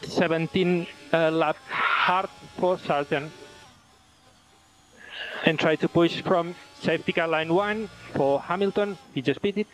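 A man's voice: on the high side, fairly even intonation, takes it slowly, a bit bright. A man working as a race engineer, giving terse callouts to a driver over team radio. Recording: noisy motor racing team radio. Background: vehicle and engine noise.

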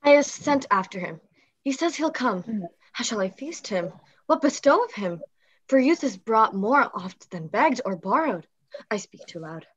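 High, dull-sounding young noblewoman, played by a woman. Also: expressive, unhurried, slightly rough. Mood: anxious, lovesick. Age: young adult. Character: lovesick, anxious young noblewoman in a stage comedy. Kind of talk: Shakespeare reading over video call. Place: indoor room, earphones on video call. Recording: slightly dull video call audio.

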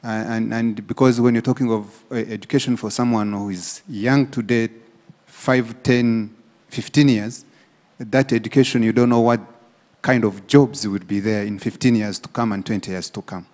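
A man in his thirties puts a question from the audience at a conference. His phrases start haltingly. He is on a microphone in a hall with long reverb. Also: fairly low pitch, fairly even intonation, darkish tone. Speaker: audience member asking a question. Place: indoor conference room. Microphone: handheld microphone.

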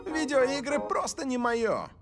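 An actress playing a laid-back, cool star striker in a cartoon dub. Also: female voice, sits high, expressive, measured pace. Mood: reluctant. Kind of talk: animated cartoon dubbing. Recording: loud music behind the voice.

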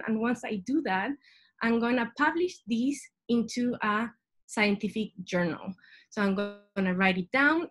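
A young woman from Chile with a Chilean Spanish accent, giving an informal science presentation over a video call as a PhD student. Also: on the high side. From Santiago, Chile. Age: young adult. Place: small indoor room at home. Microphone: webcam video call.

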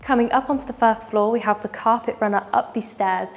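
A woman's voice: on the high side, a little fast, creaky, soft background music. A young woman presenting a walking tour of a large house, enthusiastic and gesturing as she goes, on a lapel mic. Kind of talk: upbeat house tour narration. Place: large furnished house indoors.

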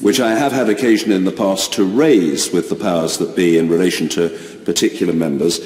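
A man in his fifties, the speaker of the house, giving a formal ruling with elaborate phrasing and deliberate delivery in a large chamber. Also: deep voice, fairly even intonation, darkish tone. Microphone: chamber microphone, seated. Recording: roomy chamber with long reverb.

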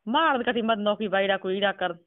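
A man's voice: high-pitched, a little fast, no echo. A man with a Rajasthani accent in a casual phone conversation, asking questions and repeating words back. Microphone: telephone. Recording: tinny, narrow phone call recording.

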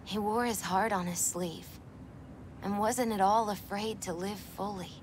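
A woman playing a gentle, spiritual young woman who is quietly grieving, speaking softly and reflectively in a video game. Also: mid-range voice, steady tone, a little slow, a little hiss or hum, close to an everyday voice. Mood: wistful, hesitant. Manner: soft, wistful, reflective. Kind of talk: naturalistic video game acting. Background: music in the background.

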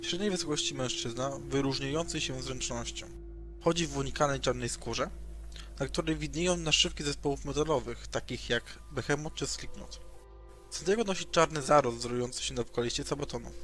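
A man's voice: medium pitch, brisk, fairly bright tone, faint music behind. A man reading a scripted character introduction for a roleplaying game.

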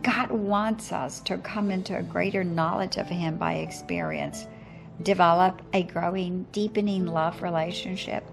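A woman reads a scripted Bible study devotional in a measured, enunciated manner. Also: on the low side, steady tone, creaky. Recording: background music under voice. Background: music.

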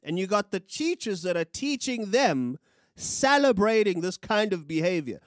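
A man's voice: high-pitched, moderate pace, no echo. A young South African man co-hosting a podcast, giving heated, profane news commentary with big hand gestures into a studio mic. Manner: animated, profane, gesturing with his hands. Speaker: podcast co-host. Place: small studio room. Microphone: studio mic on a boom, with headphones.

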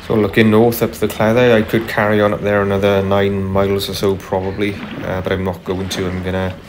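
A man narrating casually as he paddles a kayak on a river, unhurried, with frequent ums and uhs. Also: fairly low pitch, monotone, a little hiss or hum. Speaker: kayaker vlogger. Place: kayak on a tidal river outdoors. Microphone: camera mounted on the kayak.

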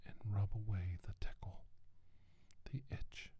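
A man playing a haunted doctor giving testimony of his abduction in a halting, deliberate ASMR whisper. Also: on the low side, dark tone, slightly rough, heavily acted. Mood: anguished, dread. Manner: slow, halting, deliberate, with pauses and repeated words. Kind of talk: whispered ASMR roleplay monologue.

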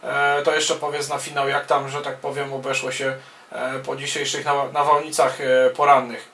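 A man working as an amateur radio operator, speaking casually and haltingly over a radio contact from a small home room. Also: medium pitch, flat delivery, creaky, sharp tone, a little hiss or hum. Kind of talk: casual ham radio contact, spoken in turns. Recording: roomy, echoing home-video sound.

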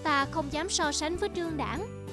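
Woman as small boy monk, high voice, self-doubting, earnest, cartoon acting, music underneath. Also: normal speed. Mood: downcast. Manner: earnest, downcast.

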